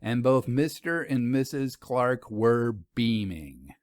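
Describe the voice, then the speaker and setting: A man narrates an audiobook tale in a measured, literary way. His voice is medium in pitch and recorded close and dry, with no room around it. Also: sing-song, a little mellow, no room sound. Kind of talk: scripted audiobook story narration.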